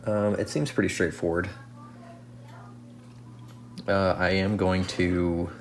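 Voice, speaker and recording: Low-voiced man thinking aloud, noisy recording. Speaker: radio restoration hobbyist. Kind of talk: casual hands-on repair commentary. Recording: clear background noise.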